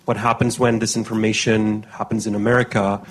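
A man's voice: on the low side, level tone, brisk. A man from Romania, an audience member at a conference microphone, searching for his words and restarting phrases as he asks his question.

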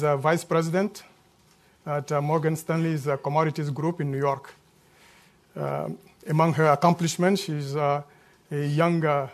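A man moderating a panel, introducing the guests with many hesitant fillers. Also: fairly high pitch.

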